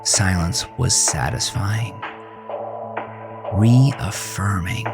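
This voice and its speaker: A man narrating a scripted first-person horror story in a dramatic, deliberate way with drawn-out pauses. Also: deep voice, gravelly. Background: background music.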